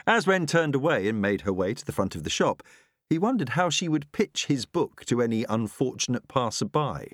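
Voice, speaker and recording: A man's voice: medium pitch, no echo, clean studio sound. A man reading a novel aloud as scripted audiobook narration.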